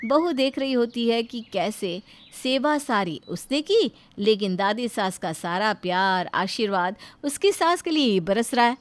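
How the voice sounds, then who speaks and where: A woman narrating a scripted moral story in an expressive storytelling style. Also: fairly high pitch, a little slow.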